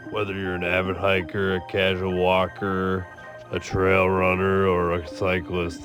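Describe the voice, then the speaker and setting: A man with a hoarse, raspy voice narrating a scripted park-trail video as a voice-over. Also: low-pitched. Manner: reads a scripted nature guide in an even tone. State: hoarse from yelling. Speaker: vlogger.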